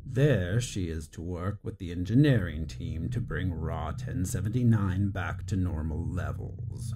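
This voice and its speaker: A man's voice: deep voice, a little slow. A man playing a cold, officious corporate commander with a clipped, formal, imperious manner in an audio drama. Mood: commanding. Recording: clear ambient noise behind.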